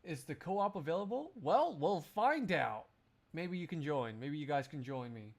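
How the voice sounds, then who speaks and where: Man livestreamer with lively, swinging pitch. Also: normal speed. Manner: jokey, rambling, exclamatory. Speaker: live streamer. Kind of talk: casual livestream chat to viewers.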